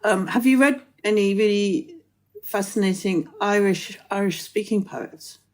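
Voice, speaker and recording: A woman's voice: mid-range voice, measured pace, a little mellow. An Englishwoman hosting a poetry podcast, musing aloud in long, thoughtful sentences. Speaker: podcast host. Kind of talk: conversational interview.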